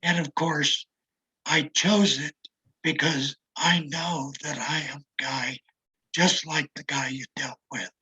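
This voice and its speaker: Older man with a raspy, damaged voice. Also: a little high, fairly even intonation, sharp tone, low-quality recording. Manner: slow, reflective, self-deprecating. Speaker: interview guest. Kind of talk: casual conversation.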